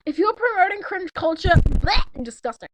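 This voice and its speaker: A woman's voice: very high-pitched, very animated, normal speed, background noise. A teenage girl in casual, giggly chat, rambling and tossing sarcastic asides as she reads and comments on a story. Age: teens. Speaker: co-host of a fanfiction reading. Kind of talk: casual chat with mocking read-aloud commentary.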